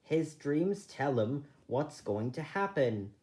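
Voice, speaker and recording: A man voicing a steady, protective male rabbit leader in an earnest storybook manner. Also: on the high side, slow pace.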